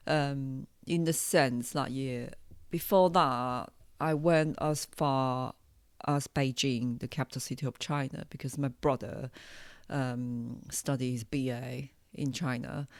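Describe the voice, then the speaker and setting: Low-pitched Taiwanese woman podcaster, unhurried. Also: no echo, clean studio sound. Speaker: podcast host, hypnotherapist. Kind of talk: unscripted personal podcast monologue.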